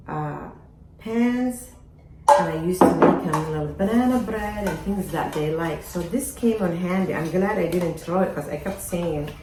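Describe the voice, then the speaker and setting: Middle-aged woman from Somalia hosting a kitchen vlog, slow and conversational, roomy sound. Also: fairly low pitch, dark tone, slight background noise. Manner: chatty, asks viewers "right?". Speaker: home baker and farm vlogger. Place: home kitchen.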